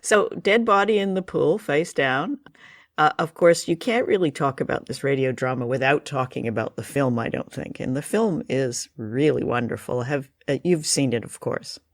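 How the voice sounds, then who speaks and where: A man's voice: medium pitch, moderate pace, dry recording, studio quality. A man hosting a conversational discussion show, talking appreciatively and with enthusiasm. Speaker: podcast host leading discussion. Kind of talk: conversational film and radio discussion.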